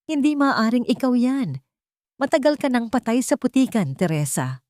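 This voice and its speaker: Woman playing jealous rival in audiobook drama. Suspicious, horrified, breathy disbelief, pitched up. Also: big pitch swings, normal speed, darkish tone, no room sound, studio quality.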